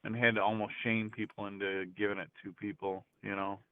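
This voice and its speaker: A man's voice: fairly low pitch, a little fast, smooth, no echo. A man calling in, chatting casually and hesitantly, with many restarts. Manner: hesitant, full of restarts, animated when surprised. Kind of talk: casual chat. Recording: tinny phone line.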